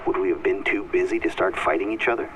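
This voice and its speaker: A man's voice: low-pitched, fast, a bit bright, slight background noise, poor audio. An actor playing an earnest, thoughtful male scientist in a video game, musing and a little hesitant. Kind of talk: video game cutscene acting.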